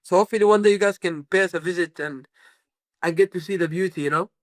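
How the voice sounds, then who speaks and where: A man from Sudan talking as a musician guest, his voice a little high and recorded dry, close to the mic. He rambles through his answers with lots of "you know" and restarts, in a casual interview. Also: fast, no room sound. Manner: rambling, many fillers and restarts.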